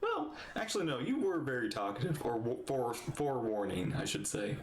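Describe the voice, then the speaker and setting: A man giving casual, excitable, rambling reaction commentary while playing a game, talking back to the characters. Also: mid-range voice, normal speed, reverberant, heavy background noise.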